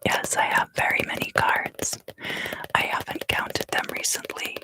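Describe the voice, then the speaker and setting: A woman from the southern US East Coast giving a soft, unhurried ASMR card reading in whispers, often pausing. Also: rough recording. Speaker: tarot reader and ASMR creator.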